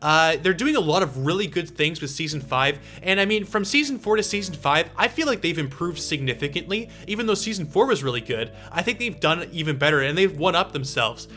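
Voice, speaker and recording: A man's voice: on the high side, animated, fairly quick, hardly any pauses, bright, forceful tone, slight background noise. An eighteen-year-old man talking casually to viewers as he answers questions, in a chatty, explanatory way.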